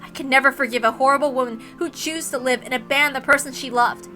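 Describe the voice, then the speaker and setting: A woman's voice: sits high, fairly even intonation, fast, pushed and bright, faint music behind. A woman voicing a blunt, passionate young heiress, defiant and emphatic, speaking in slangy lines as in a visual novel. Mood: anguished resolve.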